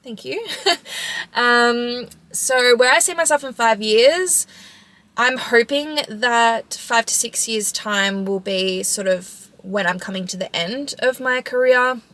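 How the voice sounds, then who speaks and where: A woman's voice: fairly high pitch, takes it slowly, sharp tone. A woman in her mid twenties with an Australian accent, chatting casually in a vlog Q&A, informal, with frequent "like" and trailing phrases. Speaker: vlogger and sprinter answering audience questions.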